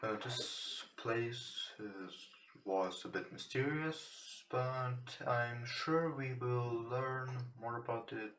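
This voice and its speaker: A man who is not a native English speaker, reading visual novel text aloud for a let's play, somewhat haltingly. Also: medium pitch, fairly bright tone, slight background noise. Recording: roomy echo with music behind.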